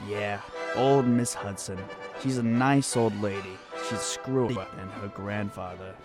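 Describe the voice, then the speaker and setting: A man's voice: lowish voice, steady tone, close to an everyday voice. A man playing a folksy, good-natured small-town gossip in a radio drama, talking in a colloquial, wryly amused way. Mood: wry, gossipy. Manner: colloquial, drawn-out, wry. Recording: music playing under the voice. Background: music.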